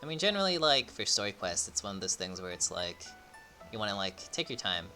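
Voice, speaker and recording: A young man giving casual, rambling, excitable live commentary as he streams a game. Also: fairly low pitch, fairly quick. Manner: rambling, excitable, mild swearing. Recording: faint game music behind. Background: game music.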